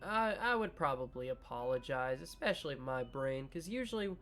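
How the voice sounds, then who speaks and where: A man reading a visual novel aloud in a slow, measured way, breaking off into joking asides about his choices. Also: mid-range voice, expressive, a bit bright, faint music behind. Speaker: gaming video creator.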